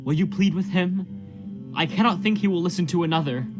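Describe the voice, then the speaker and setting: A guilt-ridden preacher of a period radio drama, played by a man, has a slightly high voice. He speaks in fervent, formal appeals, full of anguish, with music clearly playing behind. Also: normal speed, natural voice. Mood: pleading. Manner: fervent, formal archaic speech. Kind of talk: period stage drama acting in a radio play.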